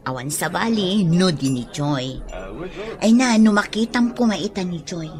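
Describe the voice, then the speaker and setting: A woman's voice: lowish voice, expressive, measured pace, crowd noise. An actress playing a teasing, frank young woman who nudges a friend toward romance in a radio drama.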